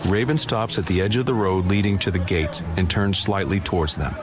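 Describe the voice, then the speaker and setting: A man's voice: low voice, a little fast, close to an everyday voice. A man reading scripted narration for an audio drama, with music behind him. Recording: loud music under the voice. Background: loud music bed.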